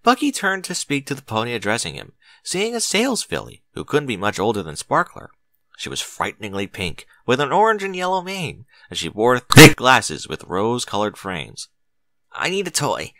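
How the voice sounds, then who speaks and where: A man narrates fiction with a very lively, swooping delivery at an average pitch. His voice is bright and forceful, recorded dry and close, and he phrases each line theatrically with clear emphasis. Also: normal speed, no room sound. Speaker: audiobook narrator. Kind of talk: dramatic scripted story narration.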